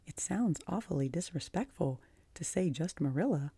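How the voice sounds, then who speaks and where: A woman voicing an imploring, imaginative orphan girl in an audiobook, earnest, wistful and breathy. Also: fairly low pitch, lively intonation, normal speed, mellow, no echo, full character voice. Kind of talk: audiobook character voice.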